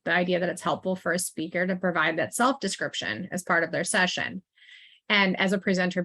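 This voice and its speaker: A woman's voice: fairly low pitch, gravelly, a bit bright. Conversational woman leading a webinar training. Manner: friendly and informal, with frequent fillers and asides. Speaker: assistive technology consultant presenting a training.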